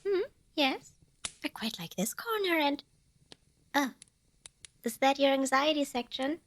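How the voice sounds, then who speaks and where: A woman's voice: high voice, lively intonation, measured pace. A woman playing a cute, eager and nervous little female demon in an ASMR roleplay, bubbly and over-polite with hesitant stammers. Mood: curious, awkward. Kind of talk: ASMR roleplay acting addressed to listener.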